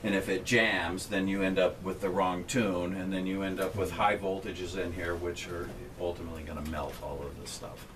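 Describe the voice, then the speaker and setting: A middle-aged man gives a plain-spoken technical walkthrough of transmitter hardware to visitors crowded into an equipment shelter. He is picked up from a few feet away by a visitor's camera, so he sounds distant, with a steady hum underneath. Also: deep voice, normal speed, hardly any pauses. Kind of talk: explaining equipment on a guided tour. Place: inside a metal equipment shelter, small group standing close. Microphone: camera held by a visitor a few feet away.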